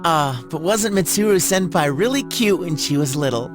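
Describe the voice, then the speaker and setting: A man's voice: on the high side, animated, moderate pace, music in the background, lightly acted. A man playing a goofy, tactless teenage boy in a video game, speaking casually as the joker of the group. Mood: teasing.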